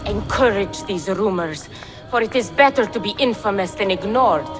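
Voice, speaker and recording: An actress playing a proud, shrewd woman clan leader in a video game, deliberate and slyly teasing. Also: mid-range voice, animated, music in the background, lightly acted. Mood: defiant, scheming. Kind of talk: cinematic video game acting.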